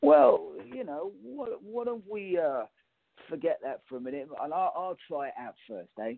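A man acts out a nerdy, easily smitten guy in a radio farce, defensive and hesitant. His highish voice swoops about, over a dry, phone-like recording. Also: expressive, normal speed, gravelly. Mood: flustered. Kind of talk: comic radio play acting. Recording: tinny, narrow phone-like sound.

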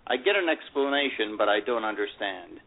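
An actor reading a memoir as a wistful, proud older divorced man, reflective and halting with emphatic openings, in a dramatic first-person style. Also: on the low side, expressive, vocal fry. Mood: wry. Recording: dull, lo-fi radio-like sound.

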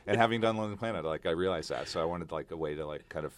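Deep-voiced laid-back American guest. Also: male voice, racing. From Oregon, USA. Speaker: guest author. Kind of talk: casual interview chat. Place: studio.

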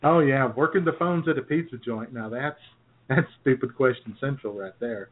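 A man with a mid-range voice co-hosts a casual talk show. He trades comic anecdotes in relaxed banter and chuckles often. The sound is thin and narrow, like a phone line. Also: mid-range voice, normal speed. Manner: chuckles often while talking.

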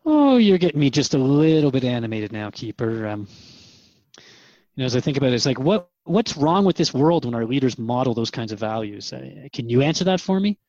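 A man's voice: medium pitch, big pitch swings, a little fast, mellow, dry recording, dull audio. A man playing an earnest, inquisitive human seeker in a radio drama, talking conversationally and a little hesitantly. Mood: exasperated. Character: earnest, questioning human man seeking hope for planet.

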